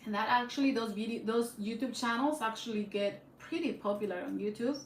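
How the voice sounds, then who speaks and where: A woman's voice: on the high side, fairly quick. A woman with a Spanish accent, chatting casually on a live stream in a rambling way full of fillers and restarts, recorded on a phone on a tripod. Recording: echoey room with faint background hiss.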